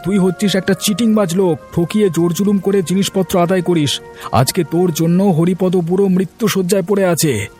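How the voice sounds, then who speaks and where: A man's voice: sits high, fairly quick, mellow, faint music behind, close to an everyday voice. A man voicing an honest, earnest young village man in a cartoon, pleading and direct. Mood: accusing. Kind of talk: cartoon voice acting.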